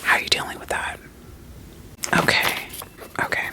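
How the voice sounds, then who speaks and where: A person whispering an ASMR roleplay as a doting, quirky therapist, soothing and reassuring with gentle questions. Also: normal speed. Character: doting, quirky therapist evaluating a vampire client.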